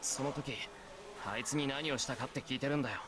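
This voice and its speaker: A man's voice: medium pitch, very fast, natural voice. A man voicing an earnest, angry teenage student in a visual novel, speaking in a blunt, accusing way. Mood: tense anger. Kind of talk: Japanese visual novel voice acting.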